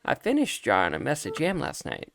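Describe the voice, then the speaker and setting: A man voicing a brisk, businesslike elderly grandmother in a video game, reading the dialogue in a measured way. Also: medium pitch, wildly swooping pitch, creaky, fairly bright tone, a little hiss or hum, full character voice. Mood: brisk, matter-of-fact.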